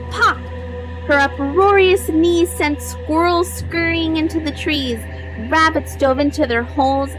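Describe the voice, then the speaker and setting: A woman narrating a children's story as a read-aloud, with dramatic, deliberate emphasis. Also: high voice, rough recording. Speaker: storybook reader.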